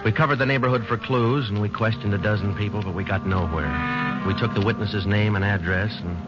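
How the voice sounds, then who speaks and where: Brief: male actor as a weary radio-drama detective, low and matter-of-fact, on a dull vintage broadcast. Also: fairly even intonation, a little fast, close to an everyday voice. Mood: terse. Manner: clipped, matter-of-fact and terse. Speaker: detective and narrator. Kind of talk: 1940s radio drama acting. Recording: muffled old radio broadcast with music.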